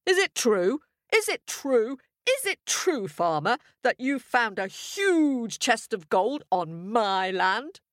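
A woman's voice: on the low side, expressive, takes it slowly, dry recording, clean studio sound. A woman voicing a pompous, impatient king in a folk tale, imperious and blustering. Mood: greedy, demanding. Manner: imperious, blustering, repeats himself. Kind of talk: storybook character voice.

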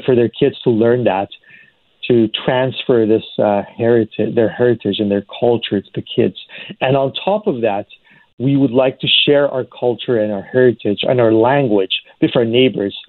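Halting man on a phone line. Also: medium pitch, no echo. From Iran. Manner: halting, many fillers and restarts. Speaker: community advocate guest. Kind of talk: radio interview answers.

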